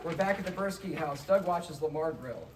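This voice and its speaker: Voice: medium pitch, moderate pace, distant mic, a little hiss or hum. A reader giving scripted stage directions at a comedy table read. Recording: echoey live room, off mic, audience.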